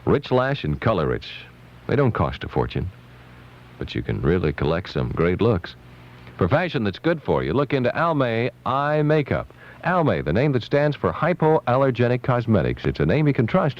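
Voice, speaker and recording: An American man working as a radio DJ, playful and chatty, ad-libbing through lively patter and ad reads. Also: medium pitch. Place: radio studio. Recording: dull lo-fi 1970s AM radio aircheck.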